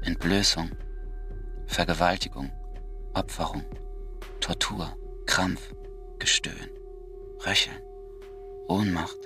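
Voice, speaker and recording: Casting: male narrator, very low voice, dramatic literary storytelling over music. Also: fairly even intonation, slow, pauses often.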